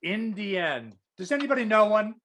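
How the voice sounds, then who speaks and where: An actor plays a tactless, self-serving male boss in a screen comedy read. The delivery is very animated, leaping up and down in pitch. He blurts, stammers and backpedals. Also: normal speed. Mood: eager, blurting. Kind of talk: comedic script acting. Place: remote video call reading. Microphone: video call.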